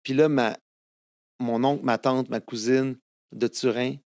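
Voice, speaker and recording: A man's voice: mid-range voice, fairly even intonation, unhurried, darkish tone, no echo, studio quality. A man in his fifties with a Québécois French accent, whose first language is Italian, telling stories as a guest in a casual interview, with many fillers and restarts. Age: 50s. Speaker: guest singer-songwriter.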